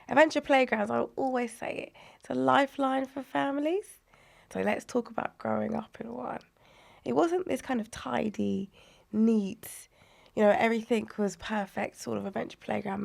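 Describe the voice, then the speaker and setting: A woman, unwell and croaky, animatedly recalling her childhood as a podcast guest, often dropping to a breathy near-whisper. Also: fairly high pitch. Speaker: guest, inclusive play advocate. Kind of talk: conversational podcast interview.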